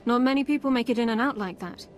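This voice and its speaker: An actress playing a tough, world-weary squad commander in a video game, blunt and matter-of-fact. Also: female voice, a little high, quick pace, lightly acted. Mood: grim. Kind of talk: video game drama acting.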